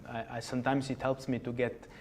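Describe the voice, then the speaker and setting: A man's voice: mid-range voice, level tone, fairly quick, slight background noise. A man with an Italian accent, speaking English as a guest in an interview, hesitant with many fillers and restarts. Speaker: podcast guest. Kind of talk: conversational interview.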